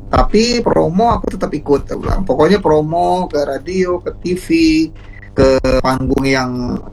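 A man's voice: fairly high pitch, unhurried, creaky, darkish tone, a little hiss or hum, rough recording. A man, a musician guest talking casually in an interview. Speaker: guest musician, former band singer.

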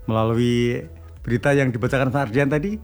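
A man with a Javanese accent co-hosting a friendly radio chat, cheerfully agreeing with his partner. His pitch sits in the ordinary middle range for a man. Faint music runs under the studio talk. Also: steady tone. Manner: upbeat, agreeable, quick to affirm. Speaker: radio co-host.

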